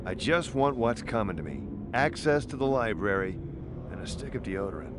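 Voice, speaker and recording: A man as a lowish, sardonic game detective. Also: lively intonation, moderate pace, noisy background, close to an everyday voice. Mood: impatient, sardonic. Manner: dry, impatient wisecracking. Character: gruff, sardonic, weary male detective. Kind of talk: scripted game cutscene acting.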